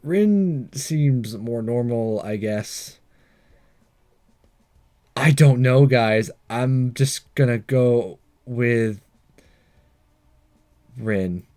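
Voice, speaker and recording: A man's voice: medium pitch, drawn-out words, long pauses, a little mellow. A man giving casual, hesitant and wry game commentary, reading some of the text aloud as he plays. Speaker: let's-play host.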